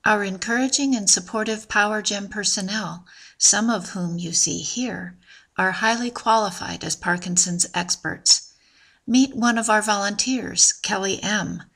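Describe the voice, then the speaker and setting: A woman narrating a scripted promotional video in an even, measured, informative way. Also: medium pitch, vocal fry.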